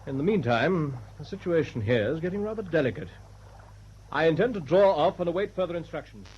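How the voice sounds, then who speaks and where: A man acting in a radio drama as a calm, decisive British naval captain with a clipped, formal, authoritative manner. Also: on the high side, a little fast, breathy edge. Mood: businesslike. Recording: dull, noisy old radio broadcast.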